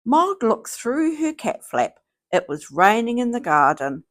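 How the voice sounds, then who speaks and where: A woman reading a children's storybook aloud in a theatrical, deliberate way. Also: on the high side, very animated. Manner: slow, theatrical, deliberate phrasing. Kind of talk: expressive scripted storybook read-aloud.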